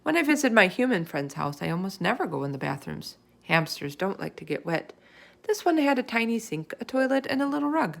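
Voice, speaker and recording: A woman reading a children's chapter book aloud in expressive narration. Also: medium pitch, a little fast, gravelly. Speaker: storybook reader.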